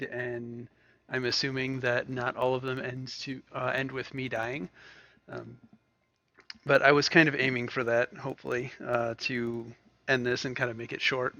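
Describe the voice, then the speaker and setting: A man's voice: mid-range voice, bright, forceful tone, no room sound, muffled recording. A man giving casual gameplay commentary as a gaming video host, with a wry, self-mocking manner.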